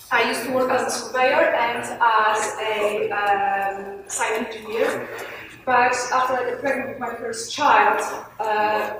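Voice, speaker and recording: Echoey, distant Polish-accented woman presenting. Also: on the high side. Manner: hesitant, halting, frequent searching for words. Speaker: conference speaker on marketing. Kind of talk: informal business presentation. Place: indoor event room with audience. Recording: very echoey room, distant mic, clear noise.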